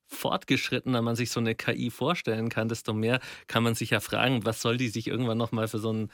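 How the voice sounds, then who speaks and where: A man's voice: medium pitch, flat delivery, quick pace, a bit bright, dry recording. A man, recorded close and dry. He thinks aloud in a conversational discussion, wordy and colloquial, circling his point reflectively.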